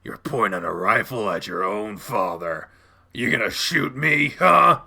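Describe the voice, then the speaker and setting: A stern, bullying animal dad, voiced by a man, with a tense, forceful voice and some gravel in it. He scolds with contempt and menace, swinging widely in emphasis, as in a dramatic audio story. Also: medium pitch, lively intonation, moderate pace, exaggerated voice. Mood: cold menace.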